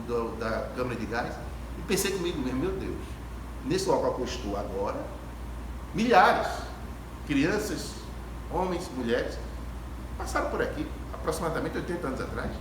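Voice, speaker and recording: A man gives a reflective spiritual lecture to an audience, telling stories emphatically with repetition and rhetorical questions. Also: a little high. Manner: emphatic, repeats phrases and asks rhetorical questions. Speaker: speaker at a Spiritist gathering. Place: ecumenical hall before an audience. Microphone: distant phone camera. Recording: echoey hall, distant phone camera, clear background noise.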